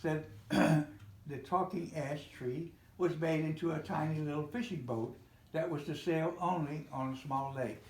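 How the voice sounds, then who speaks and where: An older man reading a children's storybook aloud, a little haltingly, with small slips and self-corrections. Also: fairly high pitch. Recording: roomy, echoey home recording with faint noise.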